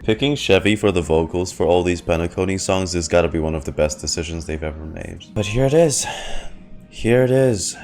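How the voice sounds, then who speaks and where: A man's voice: sits low, brisk, darkish tone. A man streaming a game reaction, reading dialogue aloud and giving his commentary over game music.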